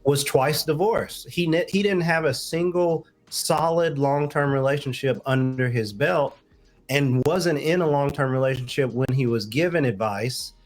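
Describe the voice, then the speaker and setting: A man's voice: fairly high pitch, a little slow, soft background music. A man speaking as a talk show guest on relationships, explaining his views in an informal, conversational way with asides.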